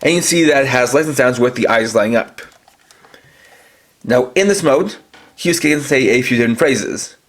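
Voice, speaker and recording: A man's voice: mid-range voice, animated, normal speed, long pauses. A man reviewing toys, walking through each feature in a methodical, step-by-step way. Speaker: toy reviewer. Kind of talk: toy review demonstration.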